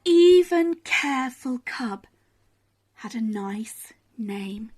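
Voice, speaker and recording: Very slow, high-voiced woman storyteller. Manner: animated, dramatic pauses and emphasis. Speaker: children's storyteller. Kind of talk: expressive bedtime story reading.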